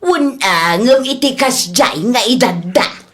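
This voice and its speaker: A gossipy, disapproving mother played by a woman in a comic radio drama. Her voice sits fairly high as she exclaims and scolds. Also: animated, slow pace, fairly bright tone. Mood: indignant gossip. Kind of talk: Ilocano comedy radio drama acting.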